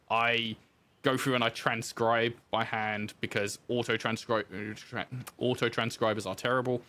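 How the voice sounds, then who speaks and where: A man's voice: medium pitch, bright, forceful tone. A man talking casually as a guest in a podcast interview, telling anecdotes with self-deprecating asides.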